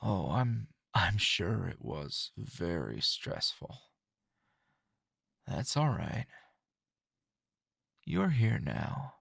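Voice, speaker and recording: A man acts out a tired, flirtatious male teacher in an intimate ASMR roleplay. His voice is low and creaky, and he drawls languidly with dry teasing. The pitch swings widely as he lingers between phrases. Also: big pitch swings, fairly bright tone, dry recording, heavily acted. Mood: teasing, soothing. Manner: languid, drawling, with sighs and pauses.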